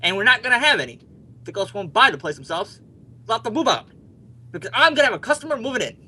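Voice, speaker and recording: A man's voice: high voice, sing-song, fast talker, sharp tone, breathy edge, full character voice. A male actor playing a brash, stubborn young husband in an old-time radio comedy, emphatic and blustering. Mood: confident, brisk.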